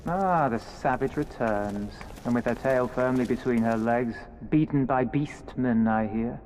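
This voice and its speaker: An actor playing a sneering imperial officer in a video game, with a mocking, sly, insinuating manner. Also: male voice, mid-range voice, a little slow, darkish tone, close to an everyday voice, low-quality recording. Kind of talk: video game cutscene acting. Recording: background ambience and noise.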